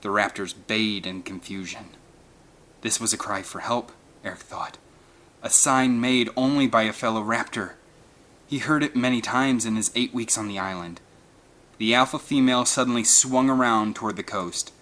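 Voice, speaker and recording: A man's voice: on the low side, moderate pace, fairly bright tone. A man reading an adventure novel aloud as dramatic scripted narration. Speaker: audiobook narrator.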